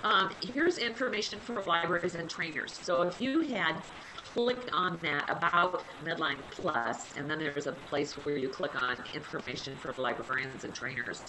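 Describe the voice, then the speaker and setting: A woman with a low, slightly nasal voice, stuffed up from a cold, walks listeners through online resources in an easy, conversational way. Her speech is loose and friendly, with plenty of small fillers. The audio carries a clear layer of background noise, as in a web conference. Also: normal speed. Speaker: health library liaison presenting a webinar. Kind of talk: casual webinar presentation.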